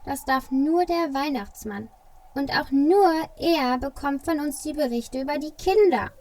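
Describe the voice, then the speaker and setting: High-voiced, earnest little elf boy played by a woman. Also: lively intonation, measured pace, a little mellow. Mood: reproachful. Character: earnest, brave young male Christmas elf.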